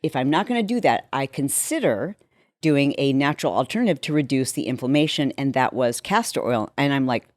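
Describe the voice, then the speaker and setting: A woman in her fifties with a deepened, slightly hoarse voice, chatting casually and candidly to viewers as a health show host explaining her routine. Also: sing-song, fairly quick, a little mellow, dry recording, clean studio sound. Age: 50s. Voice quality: deepened, slightly hoarse voice from a vocal cord injury. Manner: candid, conversational, with acted-out asides.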